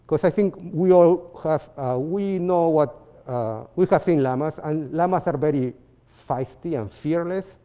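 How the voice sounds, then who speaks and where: A man from Peru with a Peruvian Spanish accent, presenting his artwork in a seminar talk, halting with fillers and restarts. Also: on the high side, dark tone. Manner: halting, many fillers and restarts, non-native phrasing. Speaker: visiting artist giving a seminar talk.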